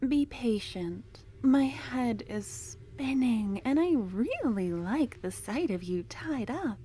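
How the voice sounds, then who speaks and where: A woman's voice: fairly high pitch, lively intonation, takes it slowly. Woman playing a whispering possessive yandere cultist. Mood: soothing, possessive. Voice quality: breathy whisper. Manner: soft half-whispered, coaxing and soothing. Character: obsessive, possessive young woman cultist, sweetly unhinged. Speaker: ASMR roleplay performer. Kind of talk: ASMR fantasy roleplay.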